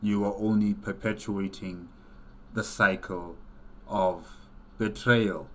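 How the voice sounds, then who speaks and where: Slow, low-voiced man giving earnest reaction commentary. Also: steady tone, fairly bright tone, slight background noise. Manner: earnest, halting, repeats phrases for emphasis. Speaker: reaction video host.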